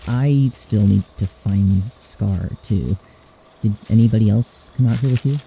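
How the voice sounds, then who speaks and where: A man's voice: on the low side, measured pace, gravelly, warm tone, a little hiss or hum, low-quality recording. A man in a podfic audio drama playing a hesitant, weary drifter with a drawling way of talking. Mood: hesitant, concerned.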